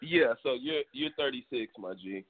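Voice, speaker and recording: A man in his thirties co-hosting a podcast, teasing his partner in lively banter. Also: medium pitch, normal speed, dry recording. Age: 30s. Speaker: podcast co-host. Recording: tinny phone-line sound.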